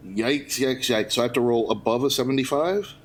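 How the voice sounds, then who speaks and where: A man's voice: mid-range voice, fairly quick. A man chatting casually as a player in a tabletop role-playing game.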